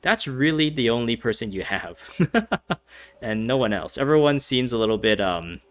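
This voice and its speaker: A man's voice: mid-range voice, big pitch swings, moderate pace. A young man giving casual, wry gameplay commentary, laughing as he nitpicks. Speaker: game streamer.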